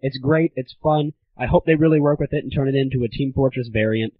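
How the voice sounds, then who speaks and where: A man's voice: mid-range voice, fast talker, slightly rough. A young man hosting a gaming audio show with casual, opinionated reviews in an irreverent, slangy way on a lo-fi recording. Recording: slightly dull lo-fi home recording.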